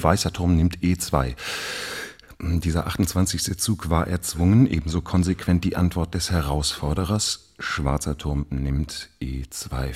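A deep, slightly rough-voiced male commentator in a radio play. He calls the contest with dramatic suspense, placing his pauses deliberately. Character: male chess match commentator, gripped by suspense.

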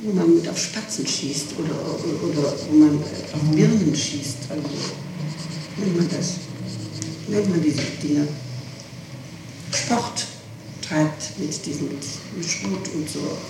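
A middle-aged woman is being questioned, heard from far off in a heavily echoing room on a 1960s tape. She answers haltingly and evasively, often hunting for the right word. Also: low voice. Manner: halting, evasive, searching for words. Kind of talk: police interrogation answers. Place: reverberant room, far from microphone. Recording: noisy, echoing 1960s interrogation tape, distant.

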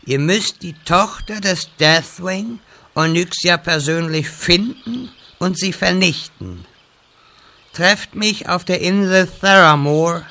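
A man's voice: high voice, slow pace, heavily acted. A man playing a pompous, theatrical old wizard mentor in a parody fantasy audio drama. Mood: solemn. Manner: grandiose, solemn, then shouting calls.

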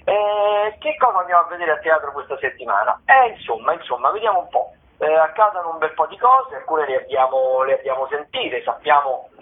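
A fairly high-voiced man gives theatre listings over a narrow telephone line. He talks in a conversational, playful way, wandering through his phrases and pausing to find words. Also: very animated. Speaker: theatre critic giving weekly listings. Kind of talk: radio commentary, conversational listings. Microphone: phone call.

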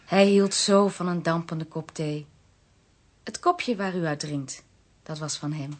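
A woman's voice: deep voice, unhurried. A woman acting a polite, bereaved wife in a radio play, courteous and subdued. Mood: wistful, composed. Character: polite bereaved wife hosting visitors with tea. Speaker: actress in a radio drama.

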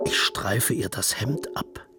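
A man's voice: fairly low pitch, slow pace, in a whisper. A male narrator voicing a boastful, deferential former lieutenant eager to impress royalty in a literary radio play. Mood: hushed confiding.

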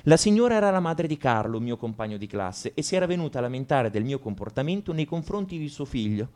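Un attore che interpreta un liceale tormentato e amareggiato, in un monologo confessionale di radiodramma, con enfasi riflessiva. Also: male voice, medium pitch, sing-song, quick pace, darkish tone, lightly acted. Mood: tense recounting. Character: brooding male high school student confessing to violence. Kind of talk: radio drama monologue, first-person narration.

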